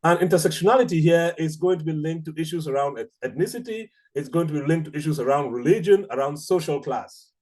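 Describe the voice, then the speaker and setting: Mellow Cameroonian man lecturing. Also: on the high side. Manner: measured, deliberate, explanatory with rhetorical questions. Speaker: academic researcher, seminar speaker. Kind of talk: academic lecture.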